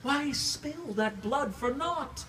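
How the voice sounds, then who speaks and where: Far off mic, guy doing a heartbroken old vampire count, californian accent, slow dramatic stage monologue, bitter and anguished. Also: very high voice, lively intonation, bright, forceful tone, pitched above the performer's own voice, heavily acted. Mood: anguished, raging. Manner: theatrical, declamatory, anguished, archaic poetic phrasing. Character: grieving, embittered centuries-old vampire count, lovelorn, raging at God. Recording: distant live room recording, faint noise.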